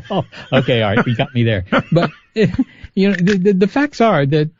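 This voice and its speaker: A man's voice: fairly high pitch, big pitch swings, measured pace, darkish tone, highs cut off, slight background noise. Chatty man laughing through restarts. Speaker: guest scientist. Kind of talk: radio interview.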